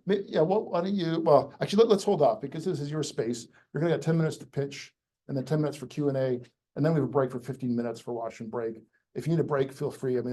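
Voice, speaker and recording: Chatty man hosting a pitch event. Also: warm tone. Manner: chatty, rambling, many restarts. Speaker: event host. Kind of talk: hosting a live pitch session. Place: crowded room.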